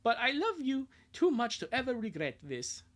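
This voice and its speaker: A man's voice: very high voice, wildly swooping pitch, moderate pace, a bit bright, voice pushed up, full character voice. A man voicing an earnest, lovestruck young cartoon character in a melodramatic, pleading way. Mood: anxious tender.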